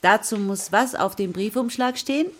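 A woman's voice: mid-range voice, moderate pace, close to an everyday voice. A woman playing a patient, encouraging primary school teacher in a children's radio drama, prompting her pupils with questions. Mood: patient, instructive. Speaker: actor in a children's radio play. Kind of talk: natural radio drama dialogue.

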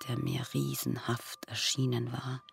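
A man reciting literary prose for a radio sound composition in a hushed delivery. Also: mid-range voice, flat delivery, a little slow, creaky. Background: music.

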